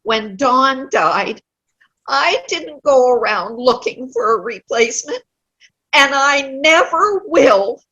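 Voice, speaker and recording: A cranky elderly widow in a stage comedy reading, acted by a woman. Her voice is high, sharp and very animated. She complains bluntly, stubborn and dismissive, at an unhurried pace. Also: breathy edge, dull audio, heavily acted. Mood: disapproving. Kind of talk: amateur play reading. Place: online video-call play reading.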